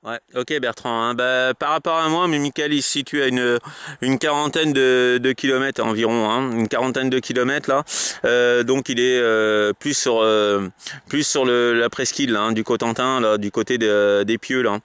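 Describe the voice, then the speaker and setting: A man with a clean, smooth voice at a middle pitch. He talks casually like a radio operator passing messages along, often pausing and repeating himself. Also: steady tone, fairly bright tone, no room sound. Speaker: amateur radio operator relaying between contacts. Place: outdoors.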